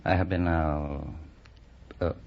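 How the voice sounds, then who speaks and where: A man in his late thirties from Spain, with a Spanish accent, politely answering as a contestant in halting English. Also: low voice, fairly even intonation. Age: late 30s. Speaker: quiz show contestant. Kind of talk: spontaneous interview answers. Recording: dull old radio recording.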